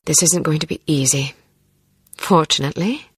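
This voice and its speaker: Wry unflappable spy in a game, lowish voice, businesslike, very dry audio. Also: female voice, moderate pace, slightly rough, no room sound. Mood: brisk. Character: cool, brisk, wry female spy. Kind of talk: video game acting.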